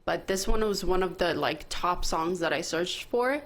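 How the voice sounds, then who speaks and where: A woman's voice: on the low side, normal speed. A woman hosting a podcast in a casual, conversational chat-show style. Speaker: podcast host.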